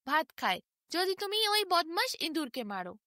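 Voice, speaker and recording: A woman's voice: extremely high pitch, big pitch swings, moderate pace, pushed and bright, dry recording, studio quality, heavily acted. A woman voicing a small, easily angered tailorbird in a storybook tale, wheedling in a sing-song, repetitive way. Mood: bargaining. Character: small indignant pleading tailorbird, quick to anger.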